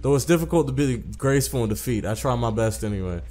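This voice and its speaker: A man's voice: mid-range voice, expressive, fast talker, mellow. A man doing a casual let's play, swearing and repeating himself in slang as he comments and reads game text aloud. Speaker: gaming video host.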